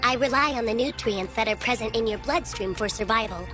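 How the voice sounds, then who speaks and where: An anime parasite creature, played by a woman, speaks with cold, clinical detachment. The phrasing is formal and precise, with no feeling in it, and music plays clearly underneath. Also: a little high, brisk, close to an everyday voice. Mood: cold, matter-of-fact. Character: intelligent emotionless parasite creature living in a boy's hand. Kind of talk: anime dub acting.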